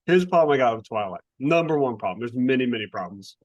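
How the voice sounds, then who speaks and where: A man with an average-pitched voice chats as a podcast co-host. He rants with exasperation and swears casually. The sound is dry, with very little room on it. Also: expressive, fast talker, a little mellow, dry recording, highs cut off. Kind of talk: casual book review chat.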